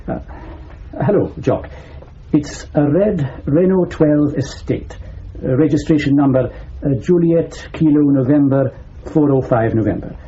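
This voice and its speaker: An actor playing a dry, concerned senior British police detective in a radio drama, measured and businesslike. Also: male voice, a little high, warm tone, breathy edge. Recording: muffled, noisy radio drama recording.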